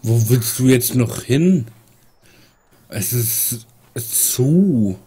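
Let's player, slow gravelly husky voice, stuffy nose, mutters at the game. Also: male voice, medium pitch, lively intonation, mellow. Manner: muttering, teasing the character. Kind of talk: casual gameplay commentary.

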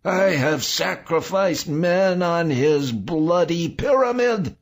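A man's voice: low-pitched, slow pace, slightly rough, full character voice. A man voicing an anguished old man in an audiobook, ranting and crying out through tears. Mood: hysterical rage. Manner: shrieking, sobbing, ranting. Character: anguished weeping old man in hysterical revelation. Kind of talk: audiobook character acting.

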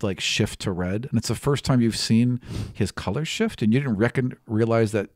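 A man's voice: mid-range voice, normal speed, a little mellow, dry recording. A man in his thirties, a game master improvising narration for a tabletop game close on a studio mic. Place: small indoor podcast studio. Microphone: close stand microphone.

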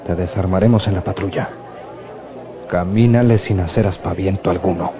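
Man acting deep-voiced stern police chief. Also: steady tone, normal speed, a crowd in the background, natural voice. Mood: coldly threatening. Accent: Mexican. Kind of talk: radio drama acting. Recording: noisy old radio drama recording.

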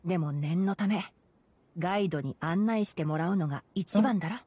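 A woman voicing a calm, clever young male forest ranger in a video game, explaining things in a measured, self-assured way. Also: on the low side, breathy edge, dry recording. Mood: calm, instructive. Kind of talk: Japanese video game voice acting.

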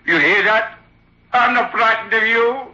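Bitter italian ventriloquist, slow and super tense, melodramatic old radio play, broken english. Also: male voice, high voice, bright, forceful tone, exaggerated voice. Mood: furious. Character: volatile, tormented Italian ventriloquist man, paranoid and bitter. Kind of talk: old-time radio drama acting. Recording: dull, lo-fi old radio recording.

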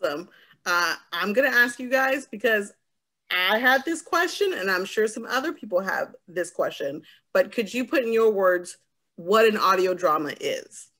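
American woman, 40s, medium-pitched voice, informal panel host on a video call. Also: expressive, normal speed, pushed and bright. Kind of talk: casual moderating. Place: home room, indoors, video call.

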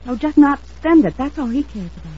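A woman's voice: high voice, big pitch swings, brisk, mellow, slightly rough, exaggerated voice. An actress playing an anxious, insistent young woman in an old-time radio drama, speaking in an earnest, emphatic, dramatic manner. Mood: bitter, worried. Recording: dull lo-fi vintage radio recording.

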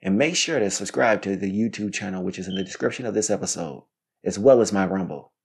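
A man in his thirties giving scripted, instructional solo podcast narration in a measured way, as if reading from notes. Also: low voice, animated, gravelly, a little mellow, breathy edge. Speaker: podcast host.

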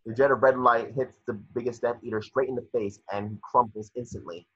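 A man's voice: mid-range voice, fairly even intonation, a little fast, a bit bright, slightly rough, no echo. A young man narrating scripted stage directions from a screenplay over a video call. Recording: dull, muffled video call audio.

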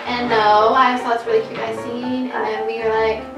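A woman's voice: fairly high pitch, flat delivery, brisk, muffled recording, over music. A young woman chatting with friends on a couch, playful and giggly. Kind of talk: casual chat. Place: living room couch indoors. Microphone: far camera. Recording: echoey room, camera at a distance.

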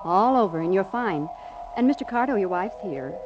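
A woman's voice: medium pitch, animated, normal speed, close to an everyday voice. A woman playing an attentive, courteous hospital nurse in a 1950s radio drama, polite and solicitous. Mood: reassuring. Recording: muffled old 1950s radio recording, music behind.

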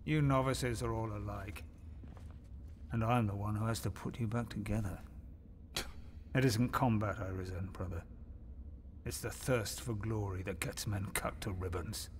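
An actor playing a world-weary veteran space marine medic, measured and sombre, in an animated sci-fi film. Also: male voice, lowish voice, slight background noise, close to an everyday voice. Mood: weary warning.

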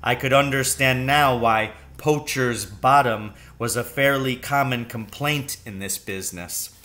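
A middle-aged man reading a children's novel aloud in a measured, suspenseful storytelling manner. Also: mid-range voice, sharp tone. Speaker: storybook reader. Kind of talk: children's novel read aloud, first-person narration. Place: room at home with wooden ceiling.

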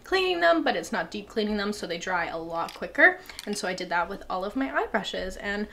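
A woman's voice: medium pitch, big pitch swings, fairly quick, sharp tone, a little hiss or hum. A woman in her twenties chatting casually to the camera at home, bubbly and gushing, with lots of filler words. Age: 20s. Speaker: beauty vlogger. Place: home, bathroom and living room.

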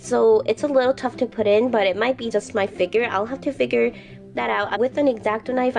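A woman's voice: a little high, a little mellow. A woman unboxing toy figures in a casual vlog, gushing and repeating words for emphasis while she talks through a lingering flu. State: recovering from flu, breathing heavily.

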